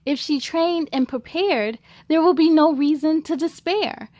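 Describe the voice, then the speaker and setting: A woman's voice: high-pitched, measured pace, darkish tone, no echo. A woman hosting a children's read-aloud, enthusiastic and speaking straight to young listeners, with storybook reading and chatty asides.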